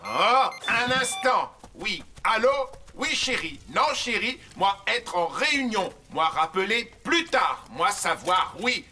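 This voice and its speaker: An actor playing a menacing robot big brother in a French cartoon dub, speaking in broken, clipped grammar. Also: male voice, high-pitched, sing-song, takes it slowly, hardly any pauses, bright, forceful tone, faint music behind, heavily acted. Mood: sheepish, halting. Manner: broken speech in bare infinitives.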